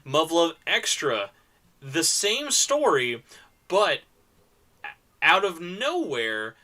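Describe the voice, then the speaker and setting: Man, medium pitch, bright punchy voice, enthusiastic panel talk, slangy, emphatic repetition. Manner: emphatic, repeats words for stress, casual slang. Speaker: convention panel presenter. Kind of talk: enthusiastic informal talk recommending games.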